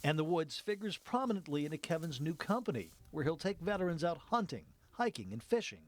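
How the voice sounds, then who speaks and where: Higher-voiced male TV news reporter. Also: very animated, fairly quick, a little hiss or hum. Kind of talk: scripted narration.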